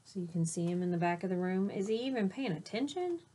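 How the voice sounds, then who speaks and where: A woman's voice: lowish voice, lively intonation, fast, mellow. A woman teacher reading a picture book to children, expressively, with explanatory asides along the way. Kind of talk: children's storybook read-aloud with commentary.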